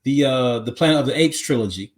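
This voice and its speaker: Casual, halting man chatting on a podcast. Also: medium pitch. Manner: halting, repetitive, peppered with "you know what I'm saying". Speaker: podcast co-host. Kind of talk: casual chat about movies.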